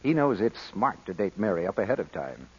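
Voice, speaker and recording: A man's voice: fairly low pitch, very animated, brisk, breathy edge. A man announcing on old-time radio, delivering scripted sales narration in 1930s broadcast style. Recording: muffled, faintly noisy old radio broadcast.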